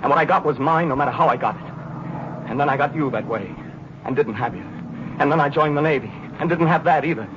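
An actor playing a brash, cynical young American navy pilot turned idealist, in an intense, theatrical 1940s radio drama monologue. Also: male voice, on the high side, a little fast, lightly acted. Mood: brooding, searching. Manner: intense, theatrical, searching delivery. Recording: noisy, dull 1940s radio recording.

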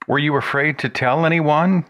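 A man hosting a talk podcast, reading a scripted introduction and then interviewing a caller with emphatic, expressive delivery. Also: fairly high pitch, normal speed, noisy background. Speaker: podcast host.

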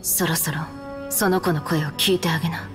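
A woman's voice: deep voice, steady tone, moderate pace, music in the background, close to an everyday voice. A woman playing a cool, blunt young guardian in an anime game, composed and commanding. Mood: stern. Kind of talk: Japanese anime game acting.

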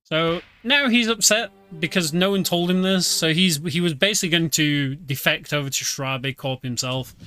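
Bright-voiced man commentating a game. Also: a little high, moderate pace, clear, resonant tone. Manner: explains as he plays, informal. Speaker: streamer, speedrunner. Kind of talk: casual live game commentary. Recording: game music behind the voice. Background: video game music and vehicle sounds.

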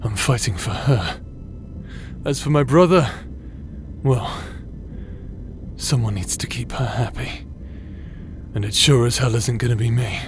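A man acting as a bitter, broken warrior in a dramatic audio roleplay, raw and halting in his delivery. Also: mid-range voice, music in the background, lightly acted. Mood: resigned. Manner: anguished, halting, emotionally raw.

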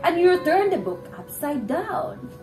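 A woman's voice: high-pitched, sing-song, a little slow, heavily acted. A young woman playing a proud, accusing woman in a stage play, declaiming emphatically. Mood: accusing, impassioned. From Philippines. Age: young adult. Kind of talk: theatrical stage acting of a classic play. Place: indoor living-room stage set. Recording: roomy, distant microphone, music behind.

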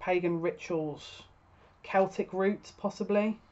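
A woman's voice: fairly low pitch, steady tone, slow, mellow. A woman in her thirties giving a casual, spoken tarot reading to camera as a psychic reader. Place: small furnished room at home, indoors. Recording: dull lo-fi webcam sound.

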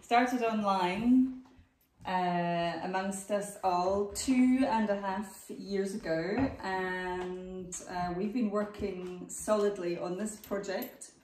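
A woman talks slowly and thoughtfully, taking her time over each point. She sounds distant in an echoey home dining room. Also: medium pitch, fairly even intonation, darkish tone. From Scotland. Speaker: project organiser and artist. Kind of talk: casual group chat. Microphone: far room camera. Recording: roomy and distant.

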